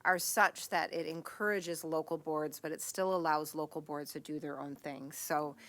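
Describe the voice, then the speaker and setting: A woman's voice: deep voice, fairly even intonation, normal speed, a bit bright. A woman school board member giving a conversational, rambling report at a board meeting, often correcting herself.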